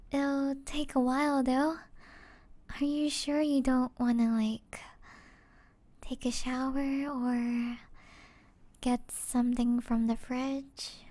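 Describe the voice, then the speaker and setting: A woman playing a sweet, affectionate young gamer girlfriend in a soft-spoken, gentle, hesitant ASMR roleplay. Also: high voice. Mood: gentle, reassuring. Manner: gentle, cooing, giggly, hesitant.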